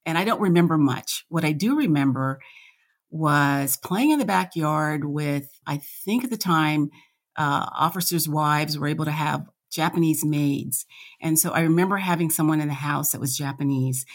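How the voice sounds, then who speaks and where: A woman's voice: deep voice, moderate pace. A woman in her sixties, an interview guest, speaking reflectively with hesitant restarts. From United States. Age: 60s. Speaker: guest, fashion analyst. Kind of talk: conversational interview.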